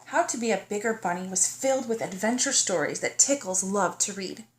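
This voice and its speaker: A woman's voice: mid-range voice, animated. A woman narrating a children's storybook as a teacher would, in a child-directed manner that invites the young listener in. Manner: child-directed, pausing to ask the listener questions. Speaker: teacher reading aloud to kindergartners. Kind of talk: storybook read-aloud narration.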